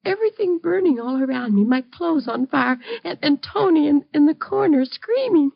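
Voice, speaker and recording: Fretful bedridden mother, high creaky voice. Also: animated, moderate pace. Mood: haunted. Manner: fretful, pleading, haunted. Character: frightened, bedridden mother haunted by a fire. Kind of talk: old-time radio drama acting. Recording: dull lo-fi vintage radio recording.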